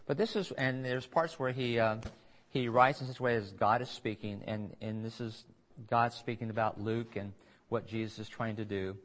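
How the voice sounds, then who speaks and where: A man's voice: mid-range voice, fairly even intonation, normal speed, fairly bright tone, poor audio. A man delivers a sermon in an ordinary mid-range voice. He speaks conversationally, breaking off into asides and restarting his phrases. The talk sounds like a church service recorded on tape. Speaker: preacher.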